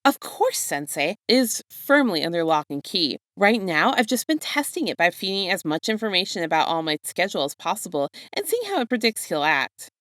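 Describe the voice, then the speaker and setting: A woman voicing an excited, earnest teenage boy student who gushes eagerly as she reads a story. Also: lowish voice, a little fast, few pauses, dry recording, studio quality. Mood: eager, proud. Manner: earnest, gushing, rattles on.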